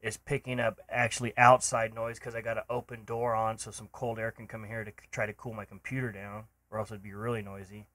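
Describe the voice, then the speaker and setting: A man's voice: lowish voice, little pitch movement, a little fast, hardly any pauses, fairly bright tone. A man casually walking through a home recording tutorial on a cheap microphone in a home room, rambling with ums and restarts. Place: home room with computer running and an open door.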